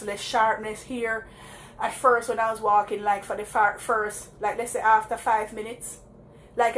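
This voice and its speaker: A woman's voice: on the high side, fairly bright tone, slight background noise. A Jamaican woman chatting casually to her live viewers while walking around the block, laughing often and calling them guys. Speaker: vlogger.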